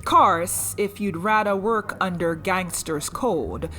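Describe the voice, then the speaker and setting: Slow, commanding woman pirate captain. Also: lowish voice, pushed and bright, soft background music. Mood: sardonic, menacing. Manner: curt, drawling, mock-polite threats. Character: commanding, sardonic female pirate captain owed a debt. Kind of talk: fantasy audio drama acting.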